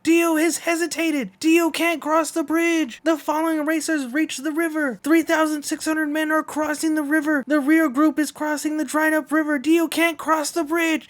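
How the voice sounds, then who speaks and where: A man voicing an excited horse race announcer with urgent, dramatic, projected play-by-play in an anime manga read-along. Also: very high-pitched, moderate pace, hardly any pauses, voice pushed up. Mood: frantic excitement. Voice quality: strained, projected. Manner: urgent, repetitive, declamatory.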